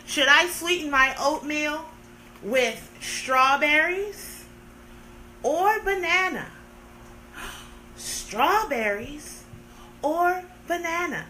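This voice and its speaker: A woman in her thirties hosts a casual livestream with a high, bright voice, teasing her viewers with playful sarcasm. She takes her time, drawing words out. A faint hiss of room noise sits under her voice. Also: low-quality recording. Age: 30s. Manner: playful, sarcastic, theatrical complaining. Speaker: livestream host. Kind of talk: casual live-stream chat with viewers.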